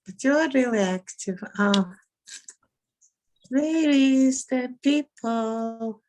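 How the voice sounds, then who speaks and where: A woman from Mongolia speaking English, giving brief, halting replies in a casual conversation. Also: on the high side, a little mellow, low-quality recording. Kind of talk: casual video-call conversation.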